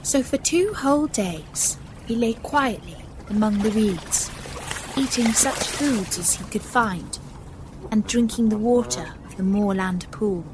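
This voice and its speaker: A woman narrating a scripted children's story in a measured, expressive manner. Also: mid-range voice, noisy background.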